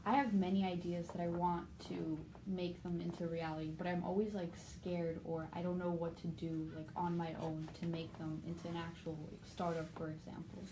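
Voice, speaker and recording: A woman's voice: lowish voice, flat delivery, moderate pace, few pauses, noisy background. A young woman interviewer asking a question hesitantly, searching for words.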